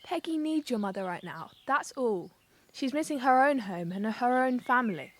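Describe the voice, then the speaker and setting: A woman playing a caring, sensible young English wartime worker in an audio drama, gentle and reassuring. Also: on the high side, animated, moderate pace, slight background noise, close to an everyday voice.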